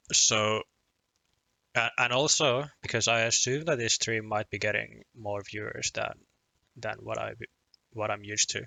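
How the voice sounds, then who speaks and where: Gravelly Finnish-accented man streaming casually. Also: fairly low pitch, pushed and bright, no room sound. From Finland. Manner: self-deprecating, rambling, frequent restarts and chuckles. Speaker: poker streamer. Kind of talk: casual live-stream chat.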